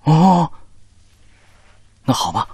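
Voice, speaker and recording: Young male doctor, radio drama, earnest, polite, husky, animated intonation, dry studio sound. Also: on the high side, extremely slow, no echo, exaggerated voice. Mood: hushed, uneasy. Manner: polite, eager, playfully teasing. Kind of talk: Chinese radio drama acting.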